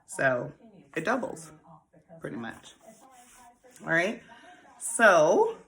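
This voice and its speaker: Gravelly forty-something woman giving money tips. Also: deep voice, sing-song, drawn-out words, a bit bright, rough recording. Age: 40s. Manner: chatty, laughs often, calls listeners honey and baby. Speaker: host. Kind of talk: casual financial advice talk.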